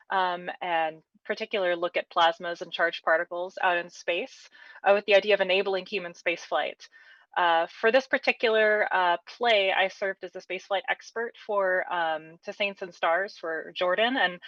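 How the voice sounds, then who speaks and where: A woman's voice: medium pitch, fairly bright tone, no echo. Woman panelist, upbeat, muffled dry lo-fi recording. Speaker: space scientist, panelist. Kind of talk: panel introduction. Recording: dry, dull lo-fi sound.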